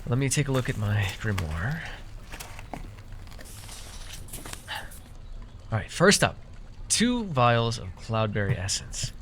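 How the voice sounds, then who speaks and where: A man's voice: mid-range voice, big pitch swings, moderate pace, a bit bright, a little hiss or hum. A man playing a proud, easily exasperated magical herbalist in a fantasy roleplay, talking straight to the listener with theatrical airs and sheepish, stammering asides. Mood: instructing, wry. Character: proud, easily exasperated young magical herbalist who gave away his name.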